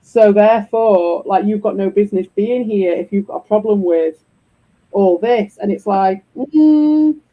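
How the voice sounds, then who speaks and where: A man with a croaky, husky voice, hosting a stream in loose, rambling chat and swearing freely. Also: sits high, unhurried, lo-fi sound. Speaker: streamer host. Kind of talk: casual chat.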